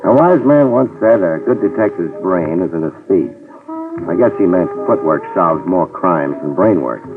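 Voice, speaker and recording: An actor playing a wisecracking New York private detective who narrates a 1950s radio drama. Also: male voice, low voice, animated, moderate pace, far from the mic. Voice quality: dry, wisecracking. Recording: tinny old radio broadcast with music.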